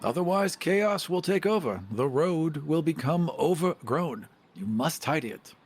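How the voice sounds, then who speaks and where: Husky, animated man role-playing at the table. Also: a little high, unhurried. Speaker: player in a tabletop game. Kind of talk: improvised tabletop role-play with casual narration.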